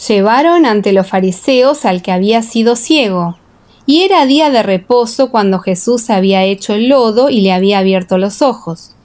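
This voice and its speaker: A woman narrating a scripture audiobook in a measured, formal, dramatic storytelling style. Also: mid-range voice, expressive, a little mellow.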